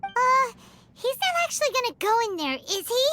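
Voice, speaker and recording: A woman voicing a tiny, childlike, indignant fairy companion in a cartoonish video game, squeaky and excitable. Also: normal speed, a little hiss or hum, full character voice. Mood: indignant, baffled.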